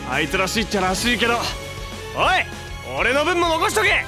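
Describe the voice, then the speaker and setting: A man's voice: very high-pitched, very animated, a little fast. A man playing a brash, hot-blooded young superhero in a Japanese audio drama, with cocky, slangy, exclamatory delivery. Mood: excited, cocky. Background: prominent music.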